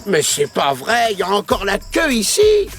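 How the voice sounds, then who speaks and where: A scheming wise fool from a children's cartoon. The voice is high and swings widely, playful and mock-innocent, over prominent music. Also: male voice, huge pitch swings, moderate pace, a bit bright, full character voice. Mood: indignant. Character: cheeky, scheming wise-fool folk hero, adult man. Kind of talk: French children's cartoon acting.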